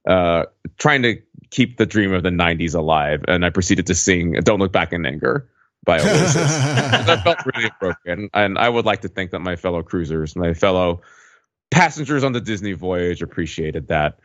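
Really low guy voice, rambly podcast storytelling, bit muffled and echoey. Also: animated, moderate pace. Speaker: podcast regular. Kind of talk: casual chat, anecdote telling. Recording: dull lo-fi, roomy echo.

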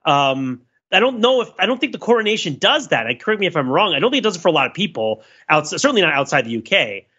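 A man's voice: a little high, sing-song, quick pace, bright, forceful tone, breathy edge. A man talking casually and analytically on a podcast panel, with discursive, intellectual phrasing and frequent rhetorical check-ins, on a slightly dull recording.

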